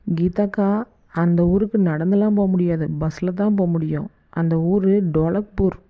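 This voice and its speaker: A woman's voice: on the low side, steady tone, moderate pace, natural voice. A woman voicing a cheery, coaxing cartoon village woman with a colloquial Tamil Nadu accent. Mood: cheery, organising. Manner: colloquial, chummy endearments. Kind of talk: cartoon comedy skit dialogue. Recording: tinny phone-like narrow band.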